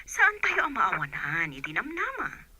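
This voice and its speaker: A woman's voice: mid-range voice, wildly swooping pitch, unhurried, sharp tone, breathy edge, no echo, exaggerated voice. A woman playing a reassuring, courteous doctor in a radio drama, heard through a telephone filter.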